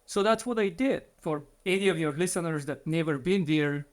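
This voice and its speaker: A man's voice: on the high side, moderate pace. A man from Bulgaria, in his thirties, earnestly telling his story as an interview guest, with slightly phrasing.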